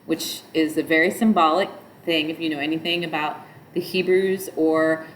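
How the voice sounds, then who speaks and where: A middle-aged woman with a nasal voice sits somewhere a little low in pitch, teaching a yoga class. She explains at a loose, meandering pace, trailing into asides. A faint hiss runs under the recording. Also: fairly even intonation, normal speed, low-quality recording. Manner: chants mantras in a nasal sung tone between explanations. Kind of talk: spoken yoga instruction.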